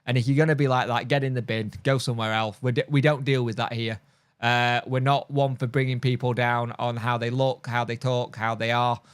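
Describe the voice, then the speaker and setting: A man's voice: mid-range voice, flat delivery, fairly quick, smooth, no echo. A man with a mild speech impediment, tired, hosting a casual live stream and chatting bluntly through viewer comments with lots of fillers and restarts. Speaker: football fan channel host.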